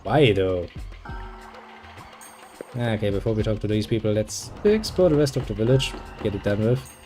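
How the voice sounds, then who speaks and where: Brief: man with a mellow, warm tone, reading game lines with deadpan sarcasm, faint game music behind. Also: on the low side, normal speed. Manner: dry sarcastic asides. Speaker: gameplay video host. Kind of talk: reading game dialogue aloud with joking commentary.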